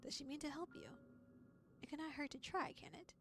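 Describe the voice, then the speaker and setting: A woman voicing an arch, formal female narrator, reading visual novel text in a deliberate, dramatic way. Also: high voice, a bit bright, slight background noise, pitched above the performer's own voice. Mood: hushed, ominous. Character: arch, ornate female narrator of a dark dream tale.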